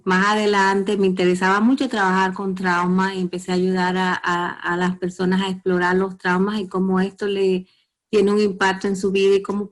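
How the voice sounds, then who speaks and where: A middle-aged Dominican woman talks calmly as a radio guest, in a very even tone with little rise and fall. Her Dominican Spanish accent is clear, and she pauses now and then to find her words. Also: medium pitch. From Dominican Republic. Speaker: guest psychotherapist. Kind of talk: radio interview.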